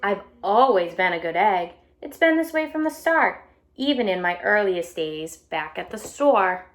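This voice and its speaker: A woman's voice: medium pitch, expressive, measured pace, lightly acted. Storybook good egg, female reader: eager, earnest, anxious to please, emphatic phrasing with stretched key words. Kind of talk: children's storybook read-aloud.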